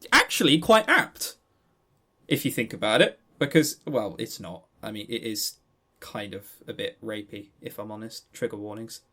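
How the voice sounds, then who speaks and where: A man co-hosting a podcast in casual, joking banter, rambling excitably and often stammering over repeated words. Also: lowish voice, normal speed. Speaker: podcast co-host.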